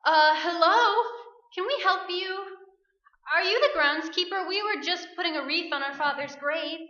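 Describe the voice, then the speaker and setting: A woman's voice: extremely high pitch, moderate pace, pushed and bright. A woman playing an earnest, easily frightened sister with a scolding manner in a staged radio play. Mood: uneasy. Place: stage, live performance. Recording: roomy, muffled, noisy stage recording.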